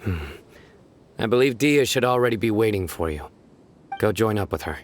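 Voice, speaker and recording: An actor playing a stern, authoritative general in a video game, speaking in curt, measured phrases. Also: male voice, fairly low pitch, animated, slight background noise, close to an everyday voice. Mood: calm, serious. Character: stern, commanding young male law-enforcement general.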